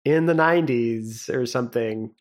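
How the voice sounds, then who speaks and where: A young man co-hosting a podcast in casual chat, relaxed and rambling, laughing often. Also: mid-range voice, normal speed. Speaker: podcast co-host.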